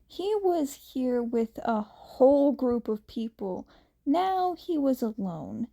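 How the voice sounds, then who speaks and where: A woman narrates a story in a high, mellow voice. Her reading is expressive and very unhurried, broken by deliberate pauses between short phrases. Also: vocal fry. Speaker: fan fiction narrator.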